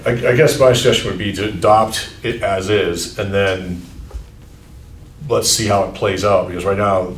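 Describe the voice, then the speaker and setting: A man's voice: lowish voice, steady tone, moderate pace, a little hiss or hum. A man, the superintendent, thinking aloud at a school board meeting, hesitant and full of restarts. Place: meeting room. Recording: echoey room, distant mic.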